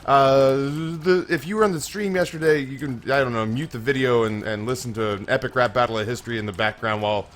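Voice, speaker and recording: A slightly hoarse young man hosting a gaming channel, telling a long, self-mocking story with many restarts while he plays. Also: mid-range voice, fairly quick, few pauses. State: a little hoarse. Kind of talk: casual let's-play commentary.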